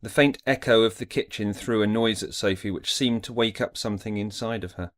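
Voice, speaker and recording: A man's voice: fairly low pitch, steady tone, brisk. A man giving a scripted, dramatic narration of an audiobook story.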